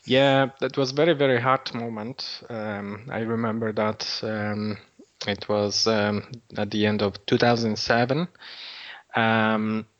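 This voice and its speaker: A man speaks slowly and haltingly in an interview. He keeps restarting his phrases, and his voice sits fairly low. The audio is dull and lo-fi. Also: little pitch movement, a bit bright. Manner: hesitant, halting, many fillers and repeated words. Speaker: nonprofit founder, guest. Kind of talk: interview answers.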